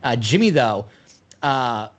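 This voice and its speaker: A man's voice: mid-range voice, lively intonation, slow pace. Enthusiastic man in rambling podcast chat. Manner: loose, rambling, enthusiastic fan talk. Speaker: podcast co-host. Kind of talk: casual chat.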